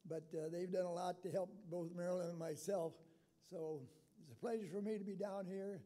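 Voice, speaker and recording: A man in his eighties with a raspy voice giving an informal acceptance speech in a halting, plain-spoken way. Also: sits high, steady tone, mellow. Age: 80s. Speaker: retired coach, award inductee.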